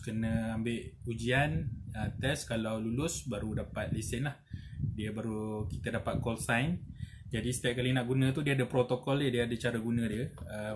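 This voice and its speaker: A man's voice: medium pitch, noisy background. A man with a Malaysian accent giving a casual, explanatory product review, often adding little checking tags. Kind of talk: casual unboxing and review talk.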